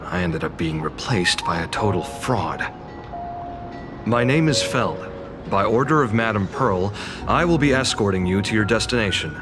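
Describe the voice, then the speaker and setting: A man's voice: lowish voice, animated, moderate pace, lightly acted. A man playing an obsequious, easily flustered escort and guide in a video game, speaking formally and deferentially. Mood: courteous, deferential. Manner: formal, deferential, fussily polite. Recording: music behind the voice.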